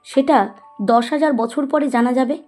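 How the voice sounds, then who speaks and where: A woman's voice: high voice, a little slow, darkish tone, faint music behind. A woman playing a curious, sceptical young history student in an audio story, questioning and wide-eyed. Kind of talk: dramatised audio story acting.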